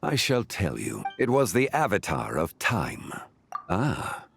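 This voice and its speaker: Deep, formal ancient sage. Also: male voice, huge pitch swings, gravelly, fairly bright tone, faint music behind, exaggerated voice. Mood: grave, deliberate. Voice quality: deep, measured. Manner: formal, deliberate, archaic phrasing. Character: calm, learned, ancient male sage in a fantasy game. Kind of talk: scripted video game dialogue.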